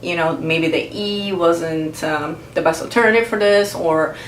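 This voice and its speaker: Low-voiced woman in a roomy space. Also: recorded from a distance, a little hiss or hum. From Brazil. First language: Portuguese. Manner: thoughtful, explanatory, pauses to search for words. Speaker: vlogger. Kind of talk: earnest talk to camera. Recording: roomy echo, mic set back.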